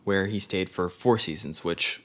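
Man, podcast co-host, low voice, casual soccer chat, jokey, halting restarts. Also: fairly bright tone. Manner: loose and jokey, reads stats with halting restarts.

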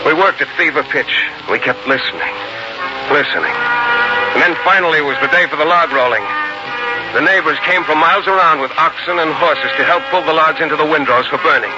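A man playing a steady, protective young frontier farmer and husband, with earnest 1940s radio drama delivery. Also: a little high, a little fast, muffled recording, loud music behind the voice, natural voice. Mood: solemn, reflective. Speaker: lead actor and first-person narrator. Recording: noisy band-limited 1940s radio recording.

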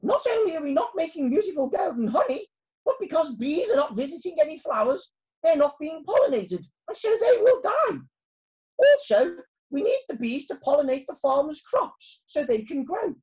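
A woman voicing a commanding female queen bee in a storybook, speaking in a high, stately, bossy way. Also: sing-song, measured pace, hardly any pauses, dull audio. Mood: urgent.

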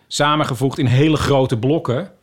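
A man's voice: medium pitch, normal speed, breathy edge. A man, a podcast guest telling anecdotes in a modest, informal way. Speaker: podcast maker, guest on the show. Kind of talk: casual chat, telling anecdotes.

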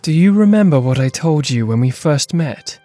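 A woman's voice: sits low, lively intonation, moderate pace, warm tone, soft background music. A woman voicing a devoted, brave man in love, solemn and tender, in a dramatic gothic story. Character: devoted, brave young male lover, gentle and solemn.

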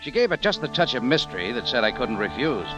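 An actor playing a wry, easygoing American cafe owner and adventurer in a 1950s radio drama, with casual noir-style delivery. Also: male voice, medium pitch, sing-song, quick pace, lightly acted, low-quality recording. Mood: intrigued, narrating. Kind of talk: 1950s radio drama acting, first-person noir narration. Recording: vintage radio with music behind.

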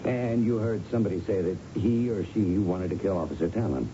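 Guy playing a deep voiced police lieutenant, patient n coaxing, old radio drama, muffled and hissy. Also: mellow, natural voice. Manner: measured, coaxing questioning. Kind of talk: 1970s radio drama acting. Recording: dull, noisy old radio broadcast.

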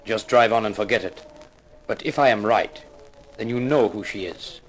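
A man's voice: fairly low pitch, moderate pace, soft background music, lightly acted. An actor playing a hefty chauffeur henchman in a 1950s radio drama, formally polite yet firm and menacing. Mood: politely menacing. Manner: formal, courteous but firm. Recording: noisy vintage radio drama recording.

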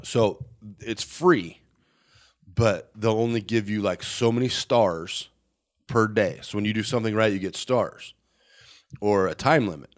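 A man with a fairly low, slightly nasal voice chats casually. He rambles through his story with easy animation. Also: unhurried. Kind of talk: casual chat.

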